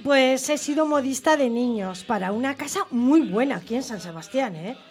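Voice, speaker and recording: A woman's voice: sits high, expressive, moderate pace, a little mellow. A woman with a Basque Country Spanish accent playing a cheerful, rambling grandmother in her sixties calling a radio quiz. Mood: chatty, homely. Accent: Spain, Basque Country. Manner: rambling, effusive, homely. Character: chatty, cheerful widowed grandmother of sixty-six phoning in. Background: music.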